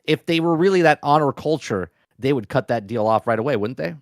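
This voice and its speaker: A man's voice: mid-range voice, lively intonation, fairly quick, no echo, clean studio sound. A man guesting on a gaming podcast, talking casually with rhetorical questions and stop-start phrasing. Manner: hesitant, many fillers and restarts, rhetorical questions. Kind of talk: casual gaming news chat.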